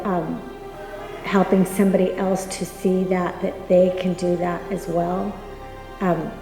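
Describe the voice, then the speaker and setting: A woman speaking earnestly and haltingly about her running community in an interview. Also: fairly low pitch, reverberant, long reverb tail, rough recording. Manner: earnest, halting, many fillers. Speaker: interviewee, runner. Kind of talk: heartfelt interview talk.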